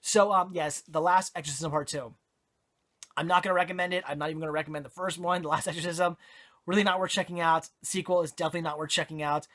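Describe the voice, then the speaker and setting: A movie reviewer whose voice is going hoarse, chatting casually and correcting themself with lots of ums and restarts. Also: mid-range voice, fairly even intonation, fast, fairly bright tone. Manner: rambling, self-correcting, many fillers. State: hoarse, voice giving out.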